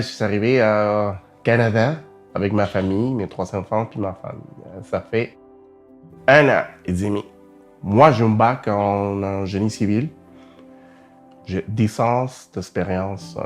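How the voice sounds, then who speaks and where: Lowish-voiced man with Colombian accent. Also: unhurried, soft background music. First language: Spanish. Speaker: documentary interviewee, civil engineer. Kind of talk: self-introduction in an interview.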